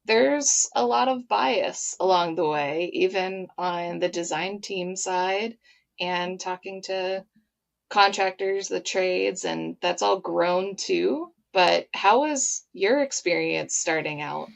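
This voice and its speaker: A woman's voice: fairly low pitch, takes it slowly, gravelly. A woman hosting an interview, talking in a reflective, conversational way. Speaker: podcast host.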